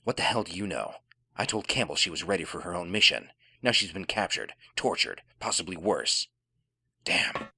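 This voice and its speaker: An actor playing a gruff, guilt-ridden, hot-tempered veteran soldier in a radio drama, with a gravelly, intense voice. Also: male voice, low-pitched, expressive, moderate pace, pushed and bright, no room sound, full character voice. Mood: angry.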